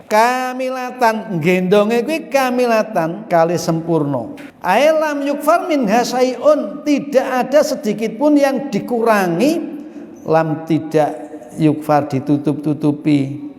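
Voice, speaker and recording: Man, Javanese accent, preacher, high voice, lively sermon, echoey hall with long reverb. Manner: lively storytelling, mixing in Arabic and Javanese phrases, mimics questioners. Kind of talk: Islamic study sermon.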